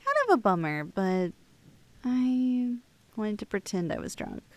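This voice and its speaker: A young woman playing a shy, lovestruck cosplayer in an intimate ASMR roleplay, with girlish slangy speech and a halting, bashful manner, close on the mic. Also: medium pitch, animated, close to an everyday voice. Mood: wistful, hesitant. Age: young adult. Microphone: close, dry studio-style microphone.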